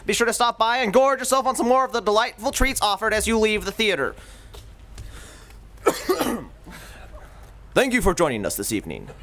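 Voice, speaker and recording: A man's voice: high-pitched, very animated, normal speed, long pauses, a bit bright. A man hosting and announcing a live old-time radio show, with theatrical delivery.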